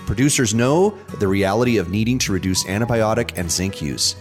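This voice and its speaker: A man's voice: fairly low pitch, expressive, brisk. A man reading scripted promotional narration as an announcer, polished and emphatic. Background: music, guitar.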